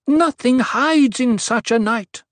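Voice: medium pitch, takes it slowly, no room sound, pitched above the performer's own voice. A narrator voicing a fierce young prophetess in an audiobook, commanding and intoning cryptic pronouncements to a crowd. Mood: rapt, exultant. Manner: commanding, ritual intoning that turns sharp.

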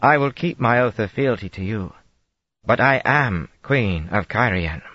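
A man's voice: lowish voice, animated, unhurried, bright, forceful tone, breathy edge, dry recording, lo-fi sound, pitched above the performer's own voice, heavily acted. A man voicing a haughty, indignant young queen. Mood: defiant. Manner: haughty, insistent.

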